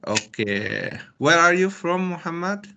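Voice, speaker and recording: A man lecturing a small online university class, talking in short, unhurried phrases. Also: on the high side, big pitch swings, bright, forceful tone.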